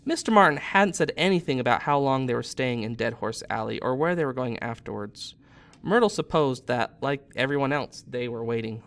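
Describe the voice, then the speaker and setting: Man reading a storybook aloud, medium pitch. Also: animated, fairly quick, fairly bright tone. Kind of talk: expressive storybook narration.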